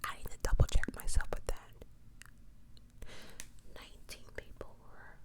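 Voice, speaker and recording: A woman whispering true-crime ASMR in a casual, chatty way, often correcting herself and adding opinionated asides. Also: moderate pace. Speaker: ASMR video host.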